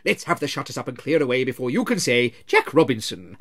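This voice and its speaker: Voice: mid-range voice, big pitch swings, fast talker, a bit bright. A reader voicing a fat, jovial old master with a rich, comfortable voice.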